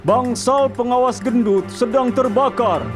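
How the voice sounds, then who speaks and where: A man's voice: very high-pitched, unhurried. A man voicing an urgent, bossy rescue crane in a children's cartoon. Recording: music behind the voice.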